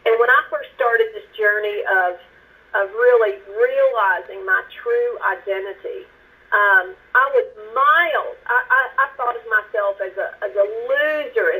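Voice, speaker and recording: A woman minister, a guest on the show, telling her personal testimony in an earnest, halting way, often restarting and repeating words. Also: fairly high pitch, bright, forceful tone, low-quality recording. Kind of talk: personal testimony in a faith interview.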